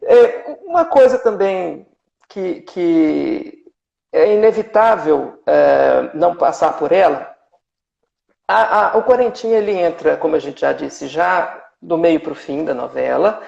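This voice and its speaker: A young man hosting a live interview politely and hesitantly, pausing between phrases as an amateur interviewer. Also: on the high side, expressive, gravelly, darkish tone. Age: young adult. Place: online live video call. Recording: echoey, dull live stream audio.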